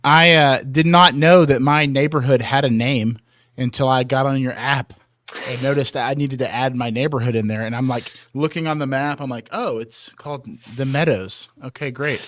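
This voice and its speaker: A man's voice: mid-range voice, moderate pace, a bit bright, no room sound, studio quality. A man hosting a talk show, interviewing guests in a casual, conversational way. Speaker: podcast host.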